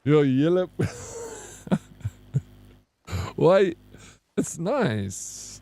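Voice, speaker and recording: A man's voice: fairly high pitch, big pitch swings, very slow, creaky, warm tone, dry recording. A man with a South African accent, giving casual, joking gameplay commentary as a streamer and bantering with his chat. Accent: South African English. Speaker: game streamer.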